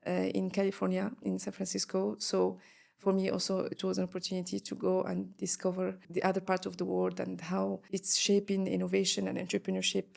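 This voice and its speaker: A woman's voice: medium pitch, completely flat delivery, brisk, creaky, darkish tone. A woman from Morocco, a guest on an interview podcast, talking with many fillers and restarts.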